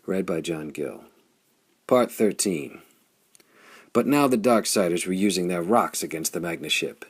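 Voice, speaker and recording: A man's voice: low voice, measured pace, breathy edge. A man reading pulp adventure fiction as an audiobook narrator, in a dramatic scripted style.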